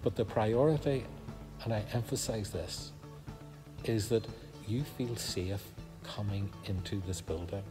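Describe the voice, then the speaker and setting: An older man, a minister speaking to his congregation in a measured, gentle, reassuring way from inside a large empty church. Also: on the low side. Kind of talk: pastoral announcement to congregation. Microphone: phone camera close up, then from a distance. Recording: echoey church hall with background music.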